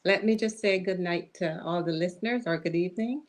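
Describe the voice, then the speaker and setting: A woman with a Barbadian accent, a guest introducing herself in a polite, measured way. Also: lowish voice. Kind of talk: casual interview chat.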